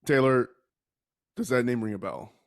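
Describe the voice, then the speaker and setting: A man's voice: mid-range voice, normal speed, no room sound, clean studio sound. A man hosting a casual true-crime podcast while sick with a respiratory bug. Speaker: podcast host.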